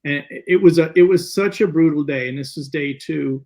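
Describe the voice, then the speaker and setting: A man speaking as a guest filmmaker, telling stories in a casual, animated interview style. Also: fairly high pitch, normal speed.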